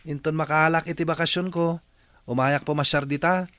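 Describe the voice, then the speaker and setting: An actor playing a dutiful, reassuring young student son in a radio drama. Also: male voice, on the high side, steady tone, fairly quick, fairly bright tone, dry recording, natural voice.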